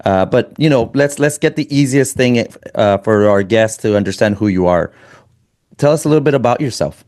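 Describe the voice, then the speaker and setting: A man hosting a running podcast, chatting casually and rambling with many halting restarts. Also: mid-range voice, lively intonation, darkish tone. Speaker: podcast host. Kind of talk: casual interview chat.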